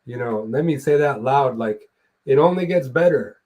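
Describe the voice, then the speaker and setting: A young man hosting a live streamed interview, talking in a relaxed, rambling way with loose fillers and the odd swear word. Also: mid-range voice, moderate pace. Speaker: podcast host and musician. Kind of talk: casual interview chat. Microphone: remote streaming call mic.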